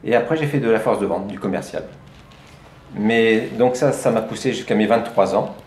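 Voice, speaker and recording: A man's voice: lowish voice, normal speed, a little mellow. Man, middle-aged, chatty storyteller, echoey room, faint background hum. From France. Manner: chatty storytelling with hesitations and laughs. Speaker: guest, life coach. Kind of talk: conversational interview.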